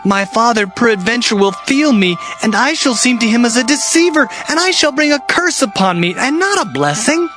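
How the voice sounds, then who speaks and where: A man's voice: extremely high pitch, expressive, moderate pace, few pauses, music in the background. An actor playing an anxious, scheming younger son in a dramatized scripture reading, earnest and formal in his pleading.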